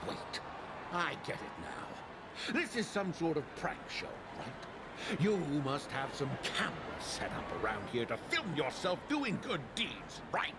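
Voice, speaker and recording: Scornful old man, game character, slow, creaky, lecturing, noisy ambience. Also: a little high, very animated. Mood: grumbling, scornful. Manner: grumbling, drawn-out, lecturing. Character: jaded grumbling old man, scornful of youngsters. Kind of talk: video game character acting.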